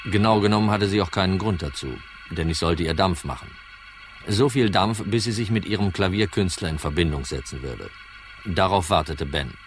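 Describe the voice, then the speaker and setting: An actor playing a hard-nosed, dry and insistent insurance detective in a German crime radio play. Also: male voice, low-pitched, normal speed, a little hiss or hum. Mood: dry narration. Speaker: narrator and lead actor.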